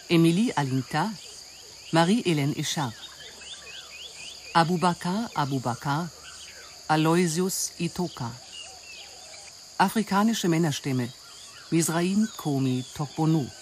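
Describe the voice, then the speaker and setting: A woman's voice: deep voice, slow, pauses often, a little hiss or hum. A woman announcer reading scripted radio drama credits.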